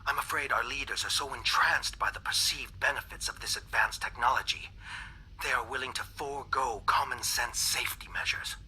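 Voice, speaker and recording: An actor playing a fearful dissident alien scientist in a game cutscene, speaking in a measured, grave manner. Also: male voice, mid-range voice, pushed and bright, a little hiss or hum, rough recording.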